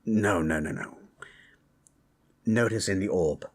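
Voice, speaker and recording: A man playing a calm, formal undead spellcaster in a fantasy audio drama, with a husky voice and measured, solemn manner. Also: low-pitched, heavily acted. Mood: reassuring.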